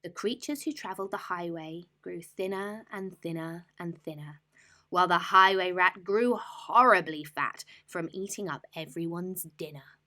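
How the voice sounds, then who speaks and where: A woman reading a rhyming bedtime story with dramatic, deliberate, rhythmic expression. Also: lowish voice, sharp tone.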